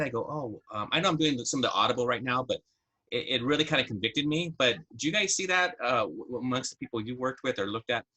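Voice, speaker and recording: A middle-aged man co-hosting a podcast in casual chat, with a husky voice. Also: medium pitch, pushed and bright, low-quality recording. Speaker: podcast co-host.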